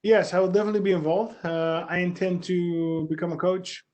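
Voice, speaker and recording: A man's voice: high voice, little pitch movement, brisk, darkish tone. A man with a Dutch accent, a fighter answering press questions in short, plain answers full of fillers. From Netherlands.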